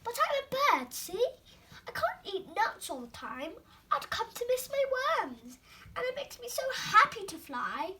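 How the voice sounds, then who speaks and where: A young female reader voicing a polite, wistful travelling bird in a storybook, gently regretful. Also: extremely high pitch, very animated, a little slow, slight background noise, voice pushed up, exaggerated voice.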